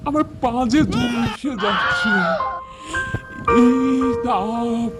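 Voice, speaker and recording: অভিনেতার কণ্ঠে চড়া গলার অসহায় গরিব গ্রাম্য স্বামী. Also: male voice, extremely high pitch, loud music behind the voice, heavily acted, rough recording. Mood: anguished. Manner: plaintive, drawn-out, rustic speech. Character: poor, weary, desperate village husband. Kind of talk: Bangla animated folk-tale dubbing.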